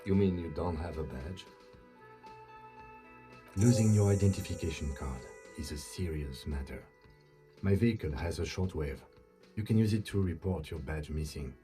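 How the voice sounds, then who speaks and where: Low, mellow, composed police lieutenant. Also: male voice, lively intonation, faint music behind. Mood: grave, advising. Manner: measured, polite, formal, matter-of-fact. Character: calm, professional male police lieutenant, restrained and businesslike. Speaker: video game character. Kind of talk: video game voice acting.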